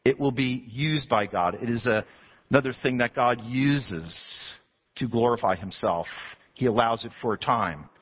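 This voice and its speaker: A man pastor from Texas preaching an expository sermon to his congregation, animated and building to emphatic passages. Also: medium pitch, unhurried, breathy edge. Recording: dull lo-fi sound.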